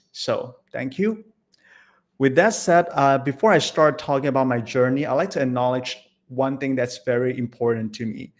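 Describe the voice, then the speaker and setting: Malaysian-born man presenting on a video call. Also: mid-range voice. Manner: friendly, rambling with many fillers. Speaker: guest presenter. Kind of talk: online presentation.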